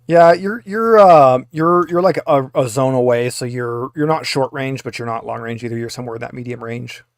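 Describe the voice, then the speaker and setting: A man's voice: medium pitch, moderate pace, no echo, clean studio sound. A man working as game master, casually explaining rules and results in a tabletop roleplaying game.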